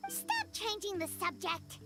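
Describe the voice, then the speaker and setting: A tiny, excitable fairy sidekick from a video game. The voice is extremely high, squeaky and childlike, swinging wildly up and down as it exclaims and demands, with music underneath. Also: female voice, measured pace, heavily acted. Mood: indignant. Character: small childlike female fairy companion, excitable and indignant. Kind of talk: video game character acting.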